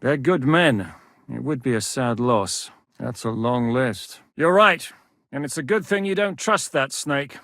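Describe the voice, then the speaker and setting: A man's voice: medium pitch, expressive, unhurried, a bit bright, dry recording. A man voicing a hardened warlord commander in a video game, blunt and weary, cursing freely. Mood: gruff. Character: hardened male warlord commander, gruff and wary. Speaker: game character voice lines. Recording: dry studio voice recording.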